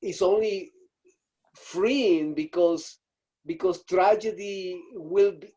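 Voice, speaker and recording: Halting man on a muffled video call. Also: high voice, mellow. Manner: halting, searching, full of fillers. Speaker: audience participant. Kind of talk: spontaneous reflective remarks.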